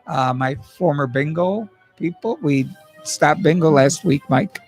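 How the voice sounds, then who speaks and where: Older radio host, folksy and jokey, gravelly husky voice, dry studio with faint music under it. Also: male voice, fairly high pitch, measured pace. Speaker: radio talk show host. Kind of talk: casual radio interview. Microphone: studio microphone.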